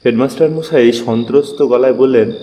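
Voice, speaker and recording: Mellow male storyteller with long reverb. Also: mid-range voice, fairly quick, a little hiss or hum. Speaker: narrator of audio story. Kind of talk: dramatized first-person story narration. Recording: long reverb, music bed.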